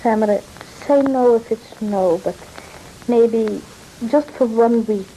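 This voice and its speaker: A worried middle-aged mother in an old radio play, her voice a touch high but mellow and soft-edged. She frets and stumbles over her words, with a faint hiss of the old recording behind her. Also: poor audio. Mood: fretting. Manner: hesitant, fretting, halting. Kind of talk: 1930s radio drama acting.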